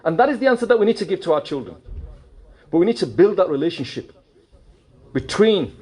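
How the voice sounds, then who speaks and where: Animated preacher, husky voice. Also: male voice, high-pitched, a little fast. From United Kingdom. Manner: animated, mixes in Urdu and Punjabi phrases, rhetorical questions. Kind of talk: mosque sermon. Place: mosque.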